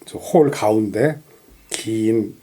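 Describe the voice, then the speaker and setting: A man's voice: on the low side, extremely slow, mellow. A middle-aged man in casual conversation as a co-host of a music talk show, speaking effusively and repeating himself for emphasis. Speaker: podcast co-host.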